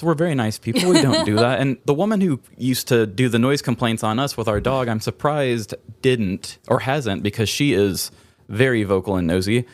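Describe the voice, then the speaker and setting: A man's voice: medium pitch, dry recording. A man co-hosting a podcast, telling everyday stories in an informal, mildly exasperated way. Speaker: podcast co-host. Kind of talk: casual anecdotal chat.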